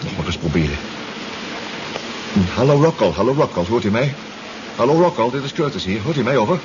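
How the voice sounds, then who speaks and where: An actor playing a distraught, exhausted submarine pilot in a 1970s radio drama, stammering and repeating himself. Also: male voice, medium pitch, lively intonation, brisk, lightly acted. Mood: weary, anxious, then calling out. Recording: dull radio play recording, loud engine noise.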